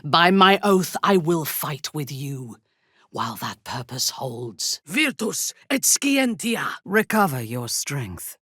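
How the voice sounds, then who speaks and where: Casting: proud, ruthless dark elf commander for a fantasy game. Slow, disdainful, highly expressive. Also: female voice, breathy edge, dry recording, clean studio sound, exaggerated voice. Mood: incanting. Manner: imperious, measured, formal phrasing. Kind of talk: video game character dialogue.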